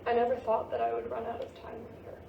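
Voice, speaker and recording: A sarcastic teenage girl, played by a woman in a play reading, with a fairly high voice and a flippant way of brushing things off. She sounds stressed underneath, and is heard from a distance in a very echoey room. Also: completely flat delivery, fast talker, vocal fry, muffled recording. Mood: wistful. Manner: flippant, slangy, brushing off worries. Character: sarcastic teenage daughter, stressed about college. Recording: roomy, distant mic with hiss.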